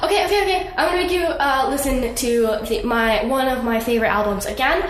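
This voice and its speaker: A young woman chatting casually as a podcast co-host, excitable and giggly, with emphatic, slangy phrasing. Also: high-pitched, quick pace. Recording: roomy echo, clear background noise.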